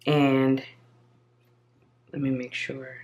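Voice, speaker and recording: A slightly hoarse woman hosting a casual crafting tutorial, chatting viewers through each step as she works. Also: a bass voice, little pitch movement, very slow, darkish tone. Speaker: craft channel host.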